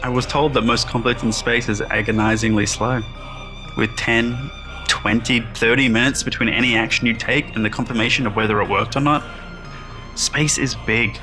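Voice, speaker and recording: A man's voice: medium pitch, fairly even intonation, moderate pace, bright, forceful tone, faint music behind, close to an everyday voice. A man playing a starship crew member who looks back on a tense space battle in a first-person audio drama monologue. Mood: reflective. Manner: reflective, recounting.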